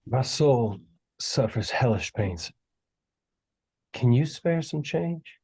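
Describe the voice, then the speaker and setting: A man acting a creepy mysterious stranger who preaches doom solemnly in a husky voice, reading a horror script. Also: medium pitch, expressive, mellow, exaggerated voice. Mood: pleading, sinister. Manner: slow, solemn, scripture-quoting delivery. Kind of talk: table read of a horror script.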